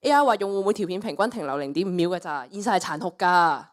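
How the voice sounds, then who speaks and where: A woman's voice: on the low side, lively intonation, fast, natural voice. A teenage girl with a Cantonese accent playing a brash, flippant young woman in a radio play, scoffing and cocky. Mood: dismissive. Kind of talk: student radio play acting.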